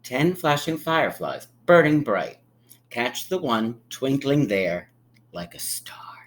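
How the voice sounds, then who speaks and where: A man reading a picture book aloud to young children like a teacher, with emphatic pauses and encouraging, friendly asides. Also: medium pitch, big pitch swings. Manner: encouraging, inviting listeners to count along; drawn-out, emphatic storytelling delivery with dramatic pauses.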